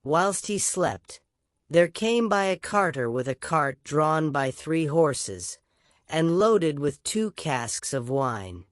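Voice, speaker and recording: A storyteller giving an expressive scripted reading in a storybook narration style. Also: mid-range voice, slow pace, dry recording, clean studio sound.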